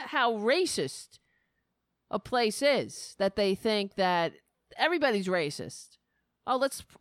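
A woman rambles through a comic story, swearing freely and laughing at her own jokes. Her voice is fairly low but swings widely in pitch. She talks slowly, and the recording is close and dry. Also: clean tone, no room sound. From Staten Island, New York. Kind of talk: casual comic monologue.

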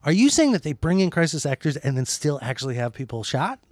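A man co-hosting a satirical comedy chat, deadpan and mock-serious, often restarting his sentences. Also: fairly high pitch, quick pace, no room sound, studio quality. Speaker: podcast co-host.

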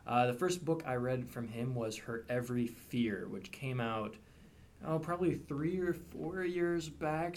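A man's voice: medium pitch, a little hiss or hum. A man co-hosting a podcast, eagerly recommending a thriller author in a casual, conversational way. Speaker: podcast co-host. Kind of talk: casual chat, book recommendations.

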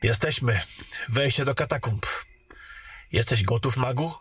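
A man playing a young, uneasy and curious warrior companion in a fantasy audio drama, expressive and questioning. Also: medium pitch, unhurried, breathy edge, no echo, soft background music. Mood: curious, grumbling. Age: young adult.

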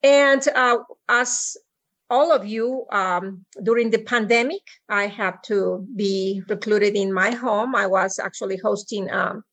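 Mexican-accented middle-aged woman, halting speech. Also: mid-range voice, fairly even intonation, fairly bright tone. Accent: Mexican Spanish. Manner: halting, many ums and restarts. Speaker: podcast guest. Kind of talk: personal story in an interview. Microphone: remote call.

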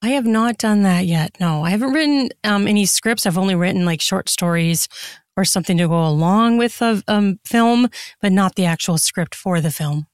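A woman's voice: medium pitch, normal speed, no echo, clean studio sound. A woman chatting casually as a podcast guest, explaining things in a rambling, conversational way. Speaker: podcast guest, erotic fiction author and podcaster. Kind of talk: casual interview chat.

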